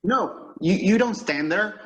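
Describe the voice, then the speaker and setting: Higher-voiced man acting a harried supervisor. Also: lively intonation, slow pace, a bit bright, dull audio, full character voice. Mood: weary, instructing. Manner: plain, instructing. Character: harried, matter-of-fact male pet department supervisor. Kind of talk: old-time radio comedy acting.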